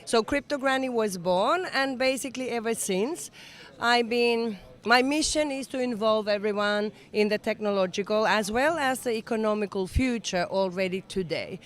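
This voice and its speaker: A woman's voice: fairly high pitch, moderate pace. Finnish-born lady in her 60s, eager and earnest, chatting in an interview at a summit. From Finland. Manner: enthusiastic, earnest, slightly non-native phrasing. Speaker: interview guest. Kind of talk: conversational interview. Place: event summit venue.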